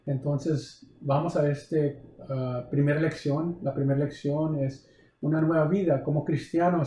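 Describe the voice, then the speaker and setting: Mellow-voiced pastor in an echoey room. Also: male voice, a little high. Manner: halting, with many fillers and restarts. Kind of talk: recorded Bible lesson.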